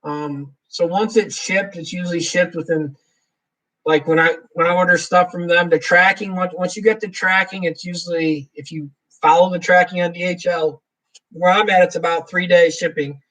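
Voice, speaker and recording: A man chats loosely through a live Q&A stream and reads viewer comments out loud between his answers. His voice sits a bit high for a man. He sounds set back from the microphone. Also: fairly even intonation, moderate pace, recorded from a distance, low-quality recording. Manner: rambling, reads viewer comments aloud, chuckles often. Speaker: livestream host. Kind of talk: casual live Q&A chat.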